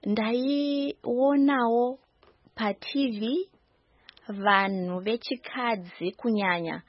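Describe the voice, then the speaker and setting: A young woman with a Zimbabwean accent tells her story as a radio studio guest, earnest and full of small hesitations. Her voice is a little husky, recorded dry, at a middle pitch. The sound is slightly dull. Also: very slow, no echo. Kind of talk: interview answers in English and Shona.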